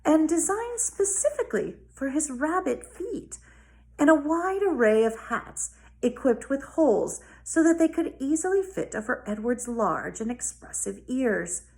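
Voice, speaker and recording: A woman schoolteacher talking and reading aloud to children in an expressive way. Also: sits high, a little slow. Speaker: elementary school teacher. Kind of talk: casual talk to pupils and storybook read-aloud.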